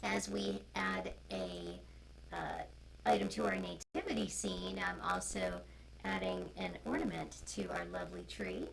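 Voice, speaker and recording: Low, creaky woman storyteller, slow and animated. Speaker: storyteller, co-host. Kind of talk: informal chat and picture-book reading aloud. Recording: noisy, distorted live-stream sound.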